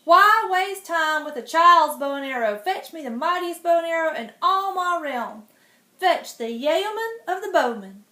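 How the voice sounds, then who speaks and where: A loud, bossy storybook king barks his orders, as a woman reading aloud plays him. He is imperious and impatient, his voice high and ringing in an echoey room. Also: expressive, a little slow, full character voice. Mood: commanding.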